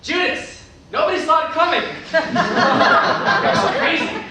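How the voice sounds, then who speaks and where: An actor playing an excitable young boy who gushes like a child, in a staged play reading. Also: very high voice, slow pace, a faint crowd, exaggerated voice. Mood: excited. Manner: gushing, exclaiming like a child. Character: excitable young boy, wrestling-mad son of a preacher. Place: indoor theatre stage. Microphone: distant camera microphone. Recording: echoey stage recording from far off, faint noise.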